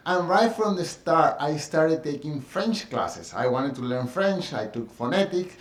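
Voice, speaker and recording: A middle-aged man with a Spanish accent speaks in an earnest, reflective monologue, pausing on fillers and restarting his sentences. His voice sits a little high for a man. The room around him is echoey. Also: sing-song. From Colombia. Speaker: dance teacher sharing his experience. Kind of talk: personal monologue.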